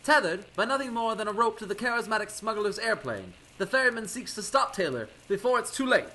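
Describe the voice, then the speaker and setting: A high, swooping male announcer played by a man narrates an old-time radio serial. His delivery is theatrical and full of suspense, over noticeable noise. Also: lively intonation, a little fast. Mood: dramatic, suspenseful.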